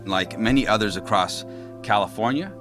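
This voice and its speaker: A man in public office who talks with a stutter, pausing often on fillers before hard words. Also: fairly low pitch. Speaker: state politician. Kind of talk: speaking at a public event. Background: background music.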